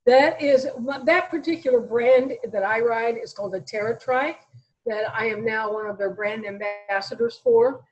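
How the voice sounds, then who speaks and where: A woman's voice: on the high side, moderate pace, muffled recording, soft background music. An American woman in her sixties talking conversationally as an interview guest, telling her own story. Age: 60s.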